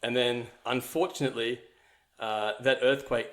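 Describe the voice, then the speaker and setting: A man's voice: medium pitch, fairly even intonation, moderate pace, fairly bright tone. Young kiwi-born guy rambling through his backstory on a podcast, lots of ums and likes. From New Zealand. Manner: rambling, with many fillers and restarts. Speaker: podcast guest. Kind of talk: casual storytelling chat.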